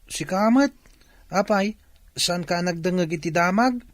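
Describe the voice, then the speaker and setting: A man's voice: high voice, unhurried, no room sound. A man playing an eager village neighbor bringing news in an Ilocano radio drama. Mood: hurried, newsy.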